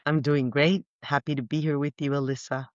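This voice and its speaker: A woman's voice: deep voice, little pitch movement, a little fast, dry recording, muffled recording. A woman, speaking as an architect guest in a thoughtful, earnest way. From Costa Rica. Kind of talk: reflective interview talk.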